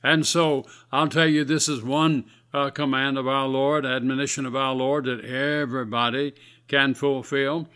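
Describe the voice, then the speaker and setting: A man's voice: medium pitch, little pitch movement, normal speed, sharp tone, clear, resonant tone. Male radio preacher, scratchy voice, folksy and earnest. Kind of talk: radio sermon.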